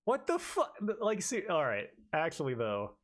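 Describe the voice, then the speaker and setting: A man casually commentating his gameplay, cursing and rambling with self-mocking jokes. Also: on the high side. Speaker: let's player.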